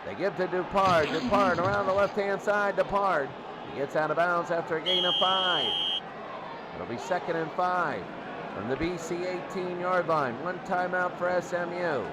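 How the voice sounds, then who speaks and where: A man calling American football play-by-play in short, repeated, excitable phrases. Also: sits high, normal speed. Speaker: play-by-play announcer. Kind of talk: excitable American football radio-style commentary. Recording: loud crowd roar, heavy background noise.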